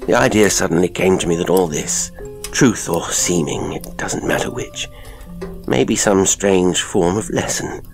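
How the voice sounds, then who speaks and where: A man voicing an earnest, gentle priest in an audiobook, speaking in a measured, insistent way. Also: deep voice, creaky, music in the background. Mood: earnest, soothing. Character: earnest, gentle priest counselling a tormented friend.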